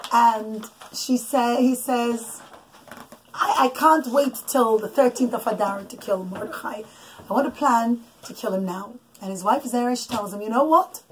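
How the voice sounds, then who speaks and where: Middle-aged mom, expressive storyteller, high voice, teaching children as she goes; noisy home recording. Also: unhurried. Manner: expressive, emphatic, didactic. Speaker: mother telling bedtime stories to her children. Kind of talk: animated storytelling with asides to children. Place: small children's bedroom, indoors. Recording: clicky home recording with background noise.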